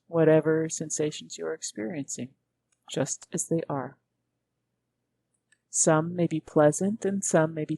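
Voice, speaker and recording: A woman guiding a meditation as a teacher, speaking gently in measured, unhurried phrases with pauses. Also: deep voice, slight background noise. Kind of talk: guided meditation narration.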